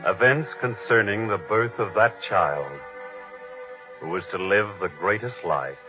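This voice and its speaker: Deep, solemn old-time radio narrator, male. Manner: measured, dramatic. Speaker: announcer and narrator. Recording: dull vintage radio recording with music.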